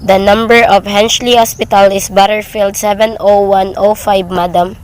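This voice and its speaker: Measured female telephone operator acted by a woman. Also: mid-range voice, flat delivery, slight background noise, lightly acted, low-quality recording. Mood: formal, matter-of-fact. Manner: measured, formal. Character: formal telephone operator giving out numbers. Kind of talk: radio play acting.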